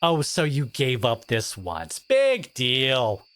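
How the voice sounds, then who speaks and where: A man playing a teenage school bully who is secretly wounded and caring, speaking in a halting, confiding, sardonic way in an intimate audio roleplay. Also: medium pitch, wildly swooping pitch, fairly bright tone, clear, resonant tone, no echo, exaggerated voice. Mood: earnest, reassuring.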